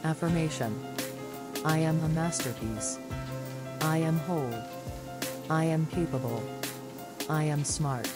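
A woman calmly reciting a list of spiritual self-affirmations in a measured, repetitive way. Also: low voice. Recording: affirmations over loud music.

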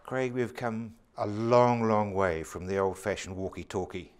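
A man's voice: fairly low pitch, level tone, measured pace, clear, resonant tone. A man, an interviewer asking short, prompting questions.

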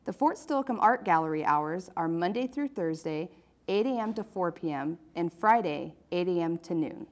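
A woman with a fairly low voice presents a magazine program as its host. She reads scripted announcements in the manner of a local arts and education report. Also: expressive, normal speed.

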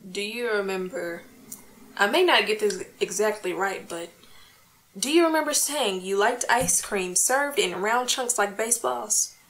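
A woman's voice: mid-range voice, lively intonation, a little slow, a bit bright. A woman playing an earnest, peacemaking housewife and mother in an old-time radio comedy, folksy, plaintive and coaxing. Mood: careful, reproachful.

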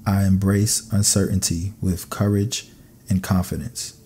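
A man narrating scripted sleep meditation affirmations in a calm, soothing, measured way. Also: sits low, a little hiss or hum.

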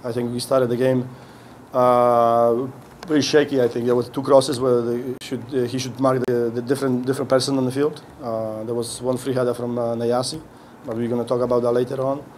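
A middle-aged man, a head coach, answering questions at a post-game press conference, speaking haltingly with many restarts as he searches for words. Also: mid-range voice, level tone, darkish tone, a little hiss or hum. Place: indoor press room. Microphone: podium mic on a stand.

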